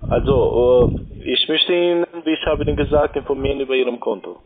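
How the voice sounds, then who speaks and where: A man's voice: mid-range voice, brisk. Foreign-accented man on noisy phone line. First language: not German. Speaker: phone scam caller. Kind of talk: phone sales call.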